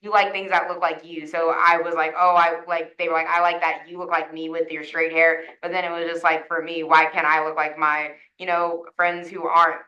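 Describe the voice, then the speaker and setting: A woman's voice: low-pitched, level tone, moderate pace, few pauses, sharp tone, lo-fi sound. A young woman sharing a personal story, casual and hesitant with many fillers. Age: young adult.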